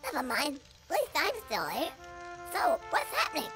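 A man's voice: very high-pitched, wildly swooping pitch, moderate pace, music in the background, exaggerated voice. An actor voicing an earnest, dim-witted young male squirrel soldier in a video game. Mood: dazed.

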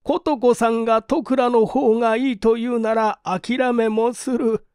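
A man's voice: very high-pitched, fairly even intonation, unhurried, darkish tone, clear, resonant tone, no room sound, clean studio sound. A man playing an earnest, insistent father making a formal plea for his son in an audiobook story. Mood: pleading.

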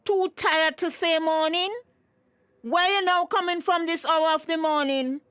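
A village mother with a Tobagonian accent and a high voice. She is stern and suspicious, speaking with indignant emphasis in radio drama style. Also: fairly even intonation, a little slow, a bit bright, dry recording, clean studio sound. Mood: scolding.

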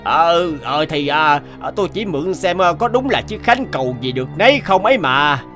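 A man voicing a greedy, scheming rich villager in an animated folktale, blustering and shifty. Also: fairly high pitch, moderate pace, over music, lightly acted.

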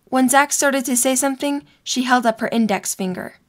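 Slightly high-voiced young woman narrator. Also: moderate pace. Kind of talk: scripted story narration.

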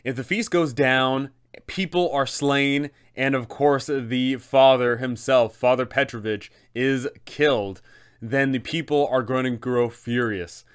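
A man in his thirties explaining tabletop game mastering to camera, enthusiastic and emphatic, with dramatic pauses. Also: medium pitch, smooth.

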